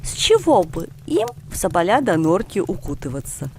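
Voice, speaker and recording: Woman reading a bookish, ironic grandfather, lively intonation. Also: on the low side, moderate pace, slight background noise. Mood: instructive. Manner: mock-formal, teasing. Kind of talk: audiobook character dialogue.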